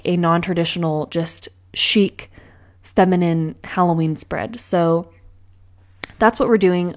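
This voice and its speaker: A woman chatting casually while decorating a planner, bubbly and rambling, her voice a little raspy in the morning. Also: on the low side. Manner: bubbly, rambling, frequent laughs and filler words. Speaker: planner vlogger. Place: at home with cats meowing.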